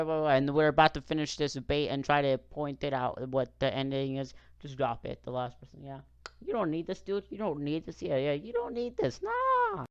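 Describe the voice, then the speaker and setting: Young male reaction host, halting and rambling, smooth voice, dry lo-fi mic. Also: fairly high pitch, fairly bright tone, no echo. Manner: rambling, halting, many fillers and restarts. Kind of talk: casual commentary over a clip.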